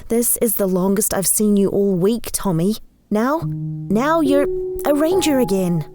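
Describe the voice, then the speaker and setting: A woman's voice: mid-range voice, expressive, measured pace, close to an everyday voice. A woman playing a hero's hurt, exasperated wife in an audio drama, with music behind. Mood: hurt, fed up.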